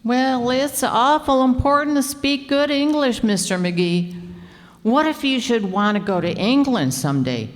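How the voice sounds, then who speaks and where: A woman's voice: fairly high pitch, expressive. A woman playing a scatterbrained, chatty, good-natured member of a household in an old-time radio comedy, with guileless delivery. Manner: unhurried, guileless storytelling. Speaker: actor in radio comedy play. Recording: echoey hall, live stage performance.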